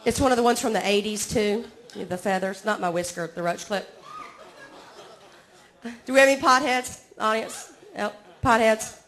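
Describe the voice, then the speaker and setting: High-voiced Southern woman doing stand-up. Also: moderate pace, a bit bright. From Georgia, US. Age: 40s. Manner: drawling, self-mocking, chatty with the crowd. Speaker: stand-up comedian. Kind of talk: stand-up comedy set. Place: comedy club stage.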